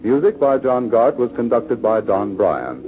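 A man's voice: mid-range voice, very animated, measured pace, phone-quality sound. A man working as a radio announcer, reading scripted credits in a formal 1940s broadcast style.

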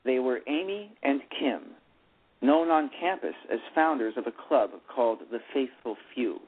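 A man's voice: medium pitch, animated, moderate pace. A man narrating a story, reading aloud and often stumbling over and repeating words. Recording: tinny phone line with faint music.

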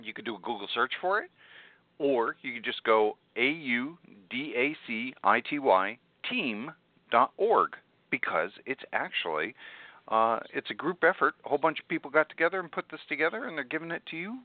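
Animated man on a phone-like line. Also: mid-range voice, moderate pace, dry recording. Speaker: podcast co-host. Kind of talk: casual tech tips chat. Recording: dry, phone-like narrow-band audio.

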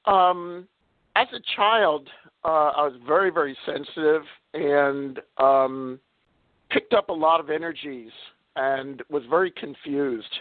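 A man, a little hoarse, chatting as a guest on a radio interview, laughing often and using many fillers. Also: fairly high pitch, measured pace, dry recording. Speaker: guest author. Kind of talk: casual interview talk. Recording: tinny phone line.

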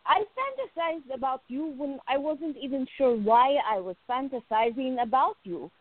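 Woman acting playful young woman in love, radio play, high voice, takes her time, very dry close sound. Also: expressive, normal speed, no room sound, phone-quality sound. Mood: teasing, ardent.

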